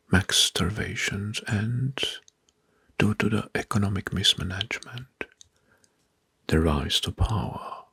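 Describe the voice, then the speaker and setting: A man whispering an ASMR history narration in a breathy, mostly unvoiced voice, pausing between short phrases and often repeating words. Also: deep voice, pushed and bright, no room sound. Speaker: ASMR host presenting history facts. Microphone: close microphone.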